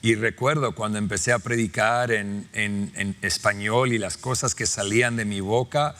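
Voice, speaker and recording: A man's voice: lowish voice, fairly even intonation, normal speed. A man from Australia, a native English speaker, hosting a conversational interview in an encouraging, exhorting way. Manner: warm, encouraging, exhorting listeners. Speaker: podcast host.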